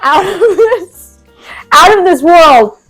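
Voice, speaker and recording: A woman's voice: very high voice, animated, slow pace, fairly bright tone, soft background music, poor audio. Young female DIY vlogger, filler-heavy casual chatter, dry cough, recorded at home. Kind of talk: casual chat and talk-through of a DIY task. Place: home indoors.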